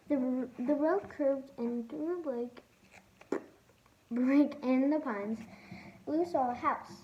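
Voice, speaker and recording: A woman's voice: on the high side, muffled recording. A young girl reading a children's novel aloud with casual asides, stumbling over and repeating words as she goes. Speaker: host of a read-aloud channel. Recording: roomy echo, faint background noise.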